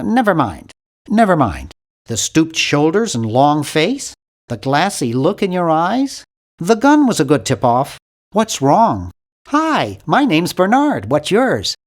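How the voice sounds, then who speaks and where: Casting: nerdy young game hero, nasal, very animated intonation, timid, polite, hesitant, earnestly bookish. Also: male voice, high voice. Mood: earnest, fussy, then awkward, friendly. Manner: awkward, hesitant, earnest and fussy. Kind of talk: video game character voice lines.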